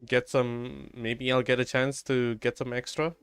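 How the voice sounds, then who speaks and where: Clear-voiced man chatting on a game stream. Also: mid-range voice, flat delivery, unhurried, faint music behind. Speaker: game livestreamer. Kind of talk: casual solo gaming stream chatter.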